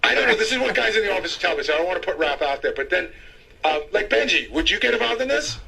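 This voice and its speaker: A man's voice: sits high, sing-song, fast, gravelly, sharp tone, slightly rough. A man working as a radio show staffer, explaining things casually in studio banter.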